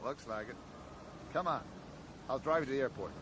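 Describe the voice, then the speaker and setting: An actor playing a kindly, middle-aged American small-town sheriff in a radio drama, speaking in a folksy, hesitant way. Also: male voice, mid-range voice, a little fast, lightly acted. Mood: genial, hospitable. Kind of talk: 1980s radio drama acting. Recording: noisy 1980s radio drama recording.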